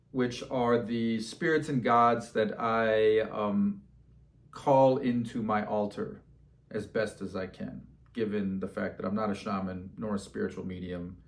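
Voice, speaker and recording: Man, medium pitch, casual storyteller, slangy delivery, animated dialogue. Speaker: storyteller and host.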